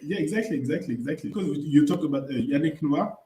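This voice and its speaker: A man's voice: fairly high pitch, animated, fast talker, mellow, roomy sound. A man co-hosting a podcast, talking casually with frequent "you know" fillers and restarts. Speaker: podcast co-host.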